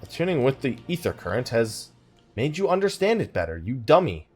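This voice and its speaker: Animated man doing casual game commentary. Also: medium pitch, a little fast, faint music behind. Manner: thinking aloud, muttering asides to himself. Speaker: let's play host.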